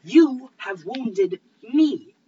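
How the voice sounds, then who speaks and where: Woman acting a proud, high-voiced, theatrical lady. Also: lively intonation, drawn-out words, mellow. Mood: scornful. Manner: theatrical, indignant, self-satisfied. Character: proud, quick-tempered, easily offended lady. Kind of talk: cold-read comedic stage play. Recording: dull recording with faint background noise.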